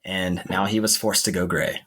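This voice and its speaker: A man with a husky voice telling history in a conversational podcast style. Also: sits low, little pitch movement, moderate pace, fairly bright tone. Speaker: podcast co-host.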